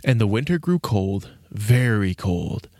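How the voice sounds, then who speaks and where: A man narrating a classic fairy tale in an expressive, old-fashioned storytelling manner. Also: lowish voice, measured pace, no room sound, clean studio sound.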